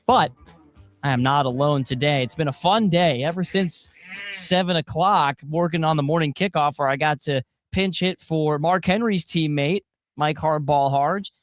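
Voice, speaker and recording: A young adult man filling in as a sports talk radio host, energetic and quick with follow-up questions on a studio mic. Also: a little high, faint music behind. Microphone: studio broadcast mic.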